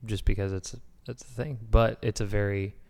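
Smooth, low young man with reverb. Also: steady tone, normal speed, darkish tone. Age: late 20s. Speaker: podcast co-host asking questions. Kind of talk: casual conversation.

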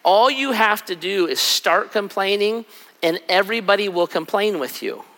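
A middle-aged man preaches in a relaxed, conversational way, now and then slipping into quick acted-out asides. His voice is raspy and bright, a little higher than most men's. A long reverb from the hall trails behind every phrase. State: raspy from a cold trip. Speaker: pastor. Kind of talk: sermon.

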